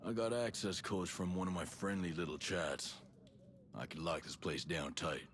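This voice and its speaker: An actor playing a gruff, resolute special forces soldier in a video game, blunt and matter-of-fact. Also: male voice, deep voice, fairly even intonation, moderate pace, a bit bright, a little hiss or hum. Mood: determined. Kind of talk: cinematic game acting.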